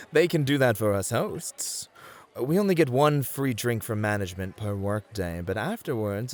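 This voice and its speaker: A man's voice: fairly low pitch, close to an everyday voice. A man playing a cheeky, flirty, playful club host in an ASMR roleplay. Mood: relaxed, friendly. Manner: playful, bantering, polite to guests.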